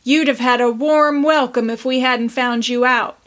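A woman's voice: fairly high pitch, steady tone, unhurried, fairly bright tone. A woman voicing a dry, matter-of-fact farm youth in a storybook reading.

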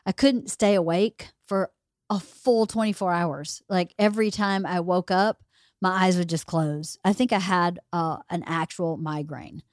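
A woman hosting a podcast in casual chat, her voice a little hoarse as she loses it, talking in a rambling, chatty way. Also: fairly low pitch, steady tone, unhurried, no room sound, clean studio sound. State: slightly hoarse, losing her voice. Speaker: podcast host.

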